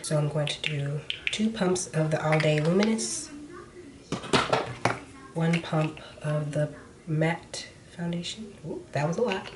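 Low-voiced woman, chatty and nasal. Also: unhurried, pauses often, heavy background noise. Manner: chatty, trails off quietly while applying makeup. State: slightly nasal after being sick. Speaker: beauty vlogger. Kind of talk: casual chat while doing makeup. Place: small indoor room, plain wall. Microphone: camera in front at close range.

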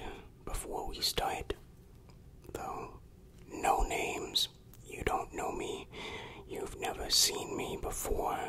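An ASMR performer in a breathy whisper, playing a bossy, secretive underground dealer in a hushed roleplay. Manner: slow, hushed, secretive delivery with repeated phrases.

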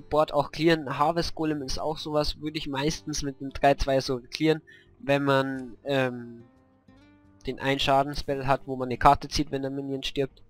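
A man with a cold and a hoarse voice, casually commentating a card game and thinking aloud about his moves, with many fillers. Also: on the high side. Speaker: gameplay commentator. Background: faint background music.

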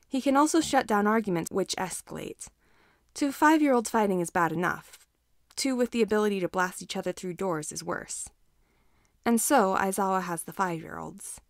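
A woman narrates fiction from a script in a medium-pitched voice. The recording is close and dry, with very little room sound around her. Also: vocal fry, dry recording. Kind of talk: scripted narration, audiobook reading of fan fiction.

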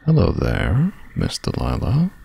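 A man's voice: deep voice, wildly swooping pitch, a little slow, vocal fry, dark tone, a little hiss or hum, heavily acted. A man playing a falsely courteous, menacing stranger in a fantasy audio roleplay, speaking politely and tauntingly.

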